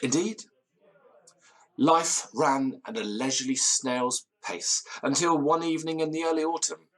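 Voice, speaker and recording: An actor playing a nervous, theatrical, disgraced gentleman in an audio drama, with florid old-fashioned diction and a tendency to stammer. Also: a little high, a little slow, rough recording. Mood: uneasy, reminiscing. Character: nervous, theatrical, hard-drinking disgraced university gentleman storyteller.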